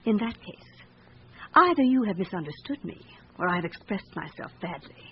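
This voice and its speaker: An actress in a radio drama playing an anxious, guarded upper-class wife with a formal, earnest manner. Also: female voice, mid-range voice, sing-song, normal speed, close to an everyday voice. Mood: guarded, evasive. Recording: dull radio recording with background hiss.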